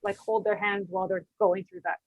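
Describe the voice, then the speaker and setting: A woman's voice: mid-range voice, little pitch movement, brisk, no echo. Woman, Canadian accent, English teacher guest, casual explaining, muffled lo-fi audio. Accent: Canadian, Vancouver. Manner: conversational, explains step by step with fillers. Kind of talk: casual podcast interview.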